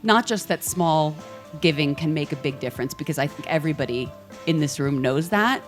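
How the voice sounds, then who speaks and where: A woman with a deep, low voice speaks persuasively to a room. Faint music plays behind her. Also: lively intonation, brisk. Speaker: speaker at an event. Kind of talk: speech.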